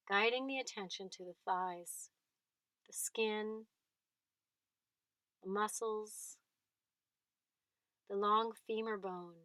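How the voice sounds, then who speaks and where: A woman guides a body scan meditation at a very slow pace. Her pitch is mid-range for a woman, and she leaves long pauses between gentle phrases. The sound is close and dry, with hardly any room reflection. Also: no room sound.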